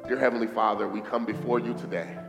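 Hoarse pastor in an echoey hall. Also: male voice, fairly low pitch, fairly even intonation, fast. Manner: fervent preaching, prayer in a hushed voice. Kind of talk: sermon. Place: church hall. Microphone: stage microphone. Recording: echoey hall with faint guitar music.

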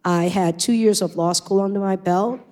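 A woman's voice: medium pitch, fairly even intonation, moderate pace, darkish tone. A middle-aged woman with a Puerto Rican accent, a native Spanish speaker, sharing her personal testimony in an earnest, reflective way. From Puerto Rico. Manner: earnest, reflective, with frequent ums. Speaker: church member sharing testimony.

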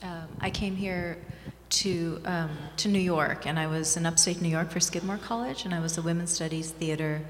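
A woman from Bangladesh, an author speaking as an interview guest in a small community gathering, reflective, measured and earnest. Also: lowish voice, slight background noise. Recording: long reverb in a hall.

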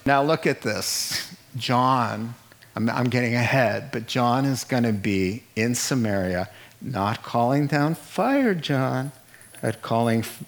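A middle-aged man preaching a sermon in an animated way, acting out the people he quotes and laughing at his own jokes. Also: medium pitch. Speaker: pastor.

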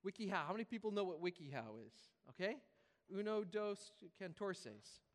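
High-voiced male preacher in a reverberant hall. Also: big pitch swings, normal speed. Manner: repeats key phrases for emphasis. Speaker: pastor preaching to a congregation. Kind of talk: sermon, conversational and informal. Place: large reverberant room, preaching live to congregation.

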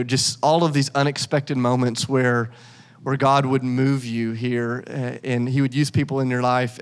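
A man pastors a church and welcomes a guest on stage. He has a medium-pitched voice and speaks in an effusive, halting way with many fillers. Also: clean tone. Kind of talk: conversational interview in church.

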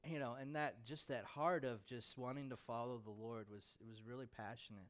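An American man, a pastor, speaking informally to his church about a mission trip in an animated, conversational storytelling way. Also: medium pitch, normal speed.

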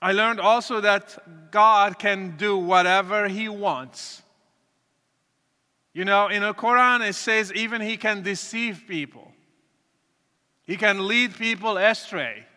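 A man from Morocco, giving an earnest, deliberate testimony to an audience, with pauses and restarts. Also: sits high, bright, forceful tone. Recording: reverberant hall.

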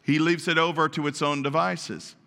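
A man preaching a sermon to his congregation, naturally loud and emphatic, often asking them questions. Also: fairly high pitch, fairly even intonation, normal speed, creaky. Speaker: pastor. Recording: long reverb of a large hall.

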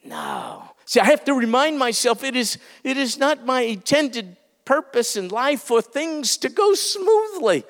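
A man's voice: very high voice, huge pitch swings, measured pace, hardly any pauses, fairly bright tone, big-room sound. A male pastor preaching a sermon, earnest and exhorting, building emphasis as he urges his listeners.

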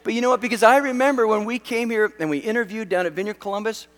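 A middle-aged man from Western Canada preaching a sermon in a lively, conversational way, with self-deprecating humor. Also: high voice, quick pace. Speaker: pastor. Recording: long hall reverb.

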